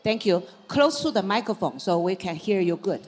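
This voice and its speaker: A woman's voice: mid-range voice, animated, normal speed, breathy edge, in a large hall. A woman hosting the event, making a brief, polite introduction of the guest speaker.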